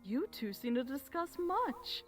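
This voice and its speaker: A woman voicing a regal, motherly pony princess and mentor in a fan fiction reading, gentle, assured and formal. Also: high voice, very animated, measured pace, faint music behind. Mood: composed, approving. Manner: formal, stately, motherly phrasing.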